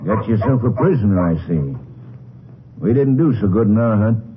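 An actor playing a falsely friendly, menacing rural gang leader in a 1950s radio western, drawling with mock politeness. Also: male voice, on the low side, expressive, moderate pace, natural voice. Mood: mock-friendly menace. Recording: muffled, noisy 1950s radio recording.

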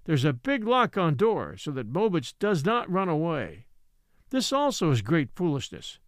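A man's voice: on the high side, wildly swooping pitch, unhurried, no room sound, clean studio sound. A man voicing an old Native man in a story reading, resigned and scornful, speaking simple broken English with rhetorical questions. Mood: wry scorn. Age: older.

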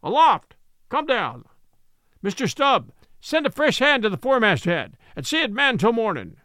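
A man doing a fierce old sea captain, booming and declamatory, high lively voice, dry sound. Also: moderate pace, dry recording, heavily acted. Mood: commanding. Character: obsessed old male sea captain, commanding and grim.

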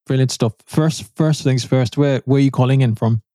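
A man's voice: medium pitch, warm tone, no echo, studio quality. A man hosting a business podcast, reading an intro and then interviewing a guest, often repeating words as he asks questions. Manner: halting, repeats words when asking questions. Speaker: podcast host. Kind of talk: podcast interview with read sponsor ad.

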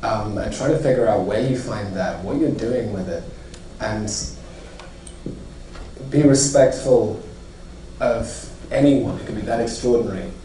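Low-voiced man from Zimbabwe, echoey room. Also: a little slow, dark tone. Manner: reflective, rambling with fillers. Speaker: actor being interviewed. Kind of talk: press junket interview. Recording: echoey room, distant mic, noisy.

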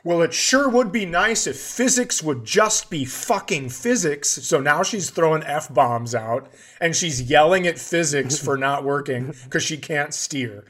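A man's voice: fairly high pitch, a little slow, a bit bright. A man hosting a podcast, telling a casual comedic story in a profane, exasperated way and acting out the dialogue. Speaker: podcast host.

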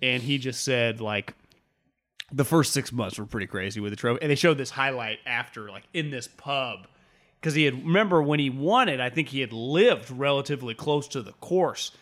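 A young man chats on a sports podcast, his voice sitting at an ordinary middle pitch. He rambles enthusiastically, piling on "like" and restarting sentences as he goes. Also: normal speed, fairly bright tone. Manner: rambling, filler-heavy, enthusiastic. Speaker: podcast co-host. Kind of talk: casual sports chat.

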